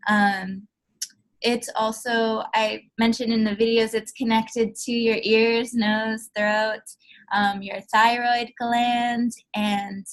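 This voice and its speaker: A woman speaking as a sound healing therapist in a podcast interview, soft-spoken, explaining things gently. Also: fairly high pitch, poor audio. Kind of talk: conversational interview answers. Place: podcast recording.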